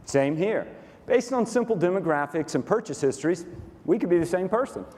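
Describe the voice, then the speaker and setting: A man with a Texas accent, a company COO walking through a software demo onstage in a dry, matter-of-fact, methodical way. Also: sits high, animated, brisk. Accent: Texan. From Texas, USA. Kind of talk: staged product demo presentation. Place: conference stage in a large hall. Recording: reverberant conference hall.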